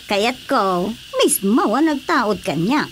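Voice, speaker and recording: Shrill feisty Ilocano wife. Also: female voice, expressive, slow, a bit bright. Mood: stubborn, wistful. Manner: exclamatory, argumentative. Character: feisty, stubborn childless wife devoted to her pet. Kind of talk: radio drama comedy acting. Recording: clearly noisy radio drama recording.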